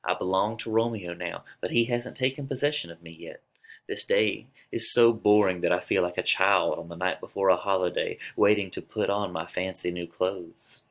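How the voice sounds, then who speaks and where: A man's voice: low voice, normal speed. A man playing a lovesick young girl in a dramatic reading of a tragedy, declaiming with open emotion. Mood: yearning. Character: lovesick young girl, impatient, then anguished and grieving.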